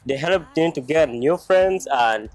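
A man's voice: fairly high pitch, animated, unhurried. Young man with easygoing speech. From Uganda. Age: young adult. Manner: plain, with "uh" and "like". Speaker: high school graduate. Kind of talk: casual testimonial. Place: outdoors by a house wall.

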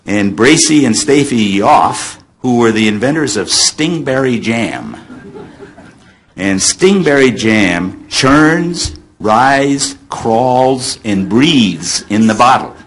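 An American man in his seventies reminiscing to an audience as an animated storyteller, drawing out words for effect. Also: lowish voice. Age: 70s. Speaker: former child radio actor on a panel. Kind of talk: reminiscing to an audience, taking questions. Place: hotel meeting room, panel table. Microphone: table microphone on stand. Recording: noisy hotel meeting-room amplification.

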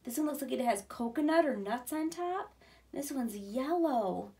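High-voiced woman reading to small children. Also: moderate pace. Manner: expressive, explaining simply to small children. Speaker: early childhood teacher. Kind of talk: children's read-aloud with questions and commentary. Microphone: camera a short distance away.

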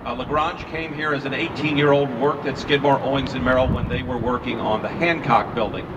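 A man's voice: mid-range voice, fairly even intonation, brisk. An older, retired man giving live architecture tour commentary on an open boat, telling it like a storyteller with dry humor. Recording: distant muffled mic, loud wind and engine noise. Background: loud wind, outdoor city and boat noise.

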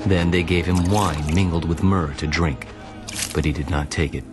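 Very deep-voiced male scripture narrator. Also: steady tone, normal speed. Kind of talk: solemn dramatized scripture narration. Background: music.

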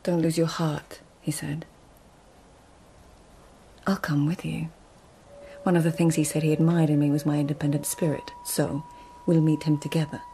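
An actress playing a proud, independent court lady in a radio drama, cool, restrained and often hushed. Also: sits low, little pitch movement, normal speed, long pauses, a little hiss or hum. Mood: confiding. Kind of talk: radio drama dialogue and literary narration.

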